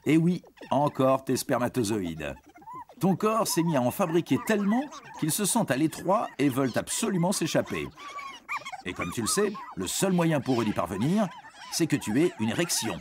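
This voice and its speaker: A man's voice: on the high side, wildly swooping pitch, moderate pace, a little hiss or hum. A man giving scripted educational narration for young teens in a reassuring, explanatory manner. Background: background music.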